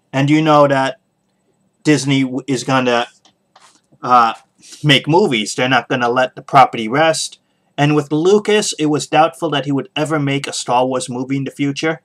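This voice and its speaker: A man hosting a podcast, giving casual solo opinions in a halting way, pausing often as he thinks aloud. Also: medium pitch, fairly bright tone. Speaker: podcast host.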